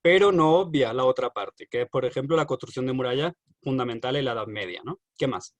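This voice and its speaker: A man's voice: medium pitch, fast, no echo. A man giving an online university lecture over a video call, hesitant, with many fillers and restarts.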